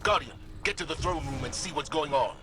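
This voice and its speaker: An actor playing a decisive military commander in a video game, giving urgent, clipped, authoritative orders. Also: male voice, a little high, brisk, very noisy, lightly acted. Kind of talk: dramatic video game acting. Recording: loud music behind the voice.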